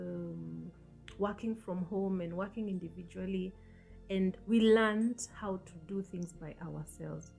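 A woman's voice: fairly low pitch, creaky, mellow, poor audio. A woman in her forties, hosting a motivational talk in an earnest, coaching manner and asking the listener questions. She speaks into a studio mic in a small room, and English is not her first language. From Africa. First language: an African language. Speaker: host. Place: small indoor room, seated on a sofa. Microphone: studio mic on a stand in front of her.

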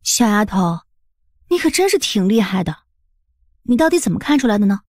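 A woman playing a confident, curious young mistress of a household in an audiobook drama, composed and gently teasing. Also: mid-range voice, big pitch swings, a little fast, no echo, studio quality. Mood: composed, intrigued. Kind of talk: Chinese audiobook drama acting.

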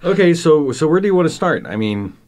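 A man in his fifties from Argentina, raised in Venezuela, with a Venezuelan Spanish accent, talking as a podcast guest in a thoughtful way, with many pauses and restarts. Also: on the high side, sing-song, darkish tone. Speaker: guest, professor. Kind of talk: casual podcast interview.

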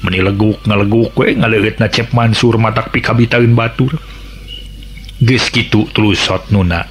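Sundanese male radio storyteller, low voice. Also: steady tone, moderate pace. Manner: chatty asides to the listeners. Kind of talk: radio folk tale narration.

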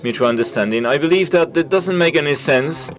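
A man giving a simultaneous interpretation of conference talks, halting and full of filler sounds and restarts. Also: fairly high pitch.

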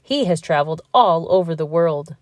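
A woman's voice: low voice, expressive, normal speed, no echo, studio quality. A woman voicing a big, friendly male hippopotamus from a children's storybook, playful and hearty. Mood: helpful.

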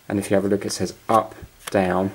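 A man's voice: lowish voice, little pitch movement, a little fast. A man thinking aloud in casual, unscripted commentary as he hosts a hands-on amateur repair video. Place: carpeted room at home. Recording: noisy home camera audio with clicks.

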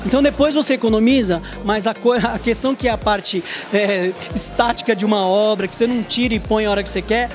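A man's voice: high-pitched, fast, few pauses. A Brazilian man in his thirties hosting a TV interview on a handheld microphone, talking in an informal, emphatic, conversational way. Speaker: TV show host. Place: trade fair stand indoors. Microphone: handheld reporter microphone. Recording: music behind the voice. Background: prominent music.

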